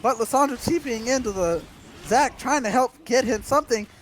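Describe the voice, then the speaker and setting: High-pitched breathless esports caster man. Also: fairly bright tone, slight background noise. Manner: rapid breathless calls, tripping over words. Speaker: play-by-play caster. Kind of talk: esports play-by-play commentary.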